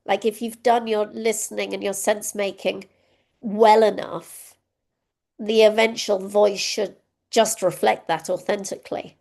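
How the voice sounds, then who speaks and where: A woman's voice: medium pitch, fairly even intonation, a little slow, creaky, a little mellow. A woman giving a keynote, answering a question over a video call in a reflective, thinking-aloud way. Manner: reflective, self-critical, thinks aloud with ums. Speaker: keynote speaker.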